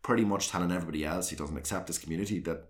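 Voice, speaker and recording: Man, South Armagh accent, very deep low voice, candid interview guest, rambling restarts. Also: rapid-fire. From South Armagh, Northern Ireland. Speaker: guest, councillor and former soccer manager. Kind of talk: earnest conversational interview.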